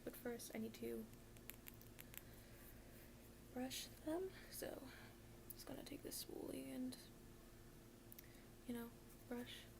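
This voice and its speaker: A woman whispering in a breathy, hushed, halting way, doing an ASMR roleplay as a gloomy, deadpan emo best friend. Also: sits high, low-quality recording. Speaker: ASMR video creator.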